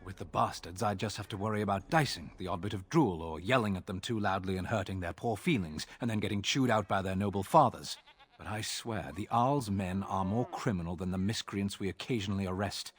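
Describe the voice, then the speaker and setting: An actor playing an exasperated, sarcastic city guard officer in a fantasy video game, grumbling gruffly in a husky voice. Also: male voice, low-pitched, fairly quick.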